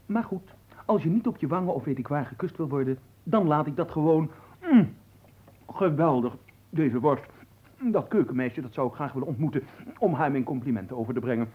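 A man's voice: on the high side, animated, fairly quick, breathy edge, phone-quality sound. A man playing a cheeky, gluttonous manservant in a radio play, glib and playful, flirting and talking between mouthfuls. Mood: glib, teasing. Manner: glib, playful, talks while eating and humming. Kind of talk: theatrical radio play acting.

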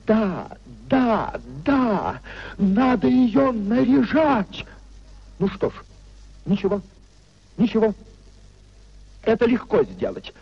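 A man's voice: high-pitched, very animated, breathy edge, full character voice. An actor playing an anguished young idealist husband giving up his principles, theatrical and emotional, in a 1950s radio play. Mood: wistful resignation. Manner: theatrical, emotional, with drawn-out repetitions. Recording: dull 1950s radio drama recording.

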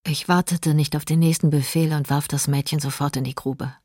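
Mother testifying, really low dark voice, husky, restrained radio drama. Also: steady tone, a little fast, no echo, clean studio sound. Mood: subdued, grave. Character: mother who survived a massacre shielding her daughter.

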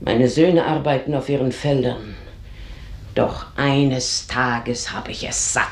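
An actress playing an earthy, bitter older housekeeper in a German radio drama, grumbling with theatrical scorn. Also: female voice, extremely low pitch, steady tone, slow, full character voice. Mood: bitter cursing. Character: bitter, earthy older female housekeeper, gossiping and cursing her mistress. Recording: roomy, echoing radio drama studio sound.

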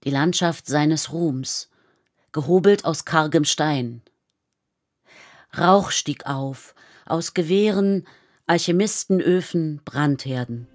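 A woman reading literary radio play narration, grave and deliberate, pausing between phrases. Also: low-pitched.

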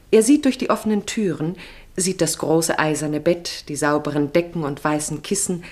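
Low-voiced woman narrating a radio play. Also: darkish tone. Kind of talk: literary radio play narration, present tense.

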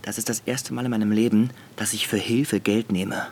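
Casting: male actor as gruff people smuggler, low voice, grudging manner, faint noise behind, radio play. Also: steady tone, normal speed, darkish tone, natural voice. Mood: gruff, blunt. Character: blunt, grudging working-class border smuggler. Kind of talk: radio drama dialogue.

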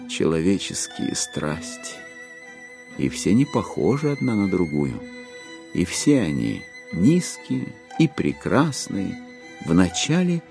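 A solemn author, low and gravelly, musing aloud in a literary audio drama. He declaims with weighty pauses and wide swings of intonation, over music. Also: darkish tone, full character voice. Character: solemn, philosophizing male author musing on human passions.

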